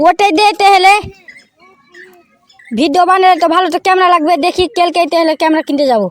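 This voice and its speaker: A woman's voice: very high-pitched, fast talker, no echo. A woman playing an eager, bossy village uncle in a comedy skit, talking in a repetitive pleading and commanding way, with music behind. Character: eager, bossy village uncle chasing online video money.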